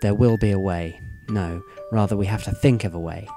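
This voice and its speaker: A man acts an analytical, unruffled schoolboy in an audio drama. He speaks on the low side with formal, measured, theatrically polite phrasing, over clearly audible music. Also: fairly even intonation, dark tone, close to an everyday voice. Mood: earnest, resolute.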